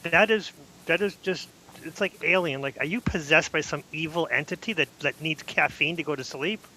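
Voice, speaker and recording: A man with a thick Boston accent tells animated stories in a casual podcast chat, acting out both sides of each exchange. His voice is bright and forceful, pitched a little high for a man. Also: normal speed, slight background noise, rough recording. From Massachusetts. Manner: animated storyteller, acts out dialogue.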